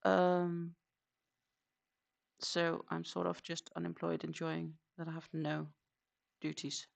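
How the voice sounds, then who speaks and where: A woman's voice: fairly low pitch, level tone, slow pace, gravelly. A woman with a Danish accent chatting casually on a crochet live stream, counting her stitches aloud and answering viewers.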